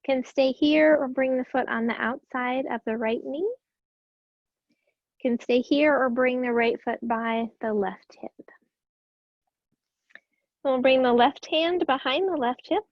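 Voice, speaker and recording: Raspy-voiced woman calmly guiding yoga. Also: sits high, slow, pauses often, no room sound, dull audio. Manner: calm, gentle cueing with breath. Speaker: yoga teacher. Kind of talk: guided yoga class instruction.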